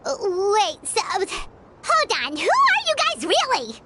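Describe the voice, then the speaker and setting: A woman voicing a tiny, excitable floating companion in a game who talks about herself in the third person. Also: very high-pitched, slow pace, heavy background noise, heavily acted. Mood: nervous. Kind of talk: game cutscene voice acting.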